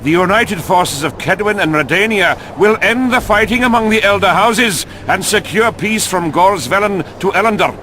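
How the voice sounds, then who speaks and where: A man playing a commanding statesman in a video game, declaiming forcefully. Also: sits high, normal speed, hardly any pauses, slight background noise. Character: commanding male envoy of allied northern kingdoms. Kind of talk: video game dramatic oratory.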